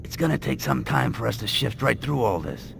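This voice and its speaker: An actor playing a stern, exasperated police superior in a video game. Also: male voice, mid-range voice, animated, brisk, a bit bright. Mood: firm, relieved. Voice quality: gravelly. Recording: game cutscene, faint vehicle noise.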